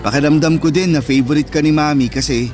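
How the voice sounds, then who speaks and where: Casting: male actor for wounded older brother in a Filipino-accented radio drama, highish voice, emotive and earnest, soft music bed. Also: fairly even intonation, fast, natural voice. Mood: hurt, resentful. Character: sensitive, hurt older brother, neglected by his mother. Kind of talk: Tagalog radio drama acting. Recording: music under the voice.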